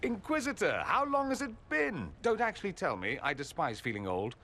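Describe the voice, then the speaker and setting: A snarky showy nobleman, bouncy melody, bit high. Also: wildly swooping pitch, normal speed, pushed and bright, exaggerated voice. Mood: wry. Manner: sardonic, theatrical. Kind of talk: video game cutscene acting.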